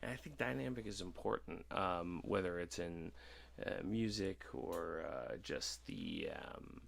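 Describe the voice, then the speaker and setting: A man hosting a casual podcast, rambling and halting, with effusive thanks and agreement. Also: sits low, very animated, gravelly, a bit bright. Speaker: podcast host. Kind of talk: casual interview chat.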